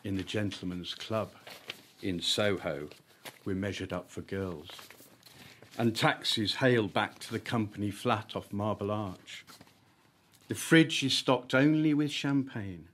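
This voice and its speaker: A man, an author reading his own short story at a live event, with deliberate, emphatic phrasing and comic timing. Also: fairly low pitch, fairly bright tone, a little hiss or hum. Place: live literary event at an arts venue.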